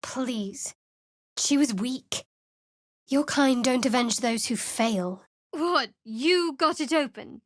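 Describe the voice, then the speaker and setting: A woman's voice: on the high side, no echo. A woman voicing a guarded, sardonic young female cleric in a video game, with a dry, wry and secretive way of speaking. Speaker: game character voice.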